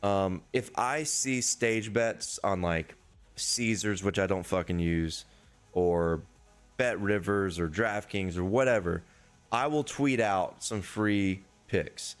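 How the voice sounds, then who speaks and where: Hoarse man with a low voice. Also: slow. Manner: loose, rambling, profane. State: hoarse, losing his voice. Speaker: sports betting podcast host. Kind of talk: casual solo betting preview, swearing freely.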